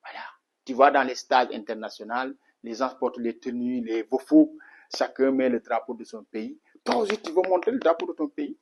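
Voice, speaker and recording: A man's voice: medium pitch, expressive, normal speed, slightly rough. Earnest middle-aged Senegalese man. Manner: earnest, repetitive, many restarts and "voilà" fillers. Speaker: martial arts instructor. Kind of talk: spoken interview answer.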